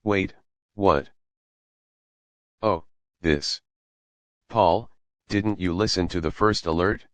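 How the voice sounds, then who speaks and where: A man's voice: low-pitched, takes it slowly, pauses often, no room sound. A man playing a concerned, stern young male friend who asks firm questions.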